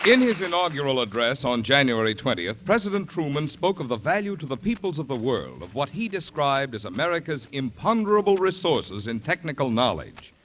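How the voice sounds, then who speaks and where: A man's voice: mid-range voice, big pitch swings, a little fast, hardly any pauses, fairly bright tone, some chatter behind, slight background noise. A man reading a scripted message as a formal, declamatory 1940s radio announcer.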